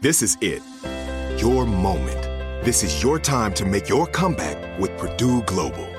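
A man's voice: on the low side, very animated, a little slow, music in the background. A man reading a scripted commercial in an earnest, motivational way. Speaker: ad announcer.

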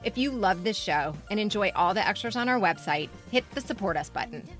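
A woman with a bright, crisp-edged podcast host voice at a middling pitch. She talks in a casual co-host manner or reads show notes to listeners, with faint music at times. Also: expressive, fairly quick. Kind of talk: casual co-host chat and scripted show outro.

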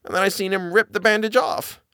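Brief: male reader doing a jittery middle-aged housekeeper, high and halting, dry close sound. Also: fairly even intonation, pushed and bright, clean tone, no room sound. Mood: fearful. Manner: halting, stammering, plain servant speech. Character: frightened old nanny, a middle-aged housekeeper woman.

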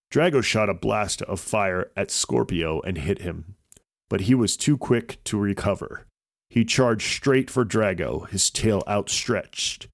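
A man's voice: fairly low pitch, no room sound, studio quality. A man narrating a scripted children's story as a podcast host.

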